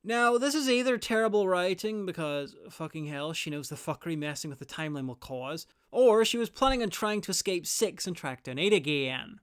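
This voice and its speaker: A man's voice: high-pitched, fairly quick, hardly any pauses, clear, resonant tone. A man narrating a scripted review in an opinionated, wry manner. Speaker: reviewer presenting an audio drama guide.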